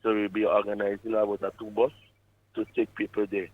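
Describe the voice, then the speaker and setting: A man's voice: on the low side, completely flat delivery, a little fast. A man with a Grenadian accent calling in by phone to make an announcement to listeners. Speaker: caller. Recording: thin narrowband phone line.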